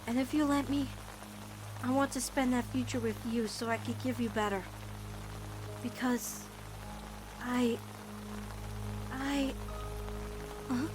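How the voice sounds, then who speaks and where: A woman voicing an awkward, lovestruck teenage girl in a cartoon comic dub, speaking in a halting, heartfelt way over music. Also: on the high side, steady tone, low-quality recording. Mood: nervous, loving. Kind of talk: animated-series comic dub acting.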